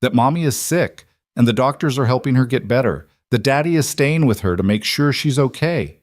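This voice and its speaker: A man voicing a stern, shrewd older mother of a crime family in a measured, grave way for an audio story. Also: medium pitch, animated, no room sound, clean studio sound, pitched above the performer's own voice, lightly acted. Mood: gentle, firm.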